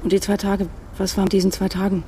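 A woman's voice: mid-range voice, completely flat delivery, fast talker, warm tone, a little hiss or hum, close to an everyday voice. An actress playing a troubled, mistrustful wife in a naturalistic radio drama, restrained and intimate in her delivery. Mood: suspicious, probing.

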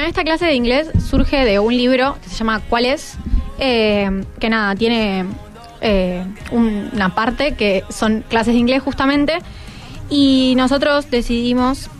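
A teenage girl with a Rioplatense Argentine accent, chatting casually and in slang as a student guest. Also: on the high side.

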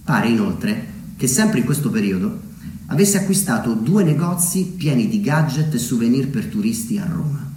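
A man delivering a scripted theatrical monologue as a storyteller, measured, with deliberate dramatic pauses. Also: medium pitch, big pitch swings, a little mellow. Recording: very echoey room, distant mic, slight noise.

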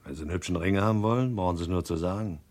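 A man acting a small-time crook with a deep voice. He speaks with sly, formal politeness, courting and insinuating in a radio play. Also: rapid-fire, a little mellow, close to an everyday voice.

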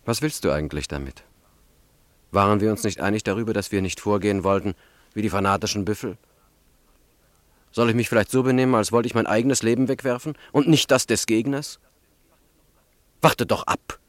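Male actor for a young conspirator, low-pitched, brooding and intense, deliberate delivery, radio play, dry studio sound. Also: dry recording, natural voice. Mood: heated, defensive. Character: brooding young male student revolutionary plotting an assassination. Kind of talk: dramatic radio play dialogue.

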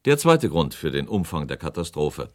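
An actor playing a grave inquiry speaker in a documentary radio drama, with a formal, accusatory delivery. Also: male voice, extremely low pitch, very animated, normal speed, darkish tone. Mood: grave, summing up. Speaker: presiding narrator.

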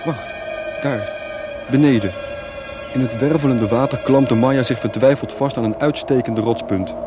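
A man's voice: mid-range voice, normal speed. A man narrating a radio play as scripted, dramatic storytelling. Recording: music bed behind. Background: music.

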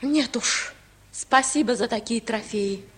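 A woman's voice: fairly high pitch, takes it slowly, fairly bright tone, a little hiss or hum. An actress playing a mocking, sarcastic village woman who scolds in a 1950s radio comedy.

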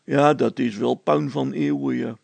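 Man playing a creaky, gossipy village policeman. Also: medium pitch, steady tone, a little slow, a little mellow, dry recording. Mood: confiding. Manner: hesitant, confiding, bossy, stammering over words.

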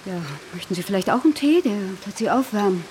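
An actress playing a famous film star, a caring but guarded older sister in grief, in naturalistic radio drama style. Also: female voice, mid-range voice, lively intonation, quick pace, darkish tone, slight background noise, lightly acted. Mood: caring, brisk.

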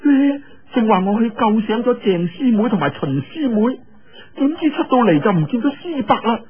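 A man's voice: very high-pitched, very animated, moderate pace, breathy edge, voice pushed up, full character voice. A man voicing an anxious, earnest young nun in a Cantonese story reading. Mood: worried. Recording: dull, lo-fi radio-like audio.

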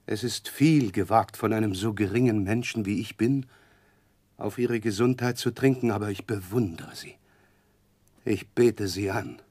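A slimy humble-acting clerk, sly and spiteful underneath, old radio play, dry studio sound. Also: male voice, fairly low pitch, unhurried, darkish tone, dry recording. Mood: fawning boldness. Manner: obsequious, self-abasing, sly. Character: fawning, falsely humble, scheming clerk with hidden spite. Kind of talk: 1960s German radio drama acting.

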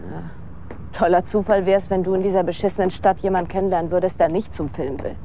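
A woman acting in a German radio drama as a sardonic, world-weary young aspiring actress with a deadpan, cynical delivery. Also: on the low side, fast talker, close to an everyday voice. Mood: scornful, dry. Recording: clear outdoor street and traffic noise. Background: city street ambience with vehicles.

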